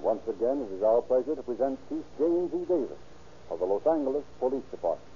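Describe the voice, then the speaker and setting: Male 1930s American radio announcer, formal sales delivery, mid-pitched, thin vintage recording with music behind. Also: animated, quick pace, dull audio.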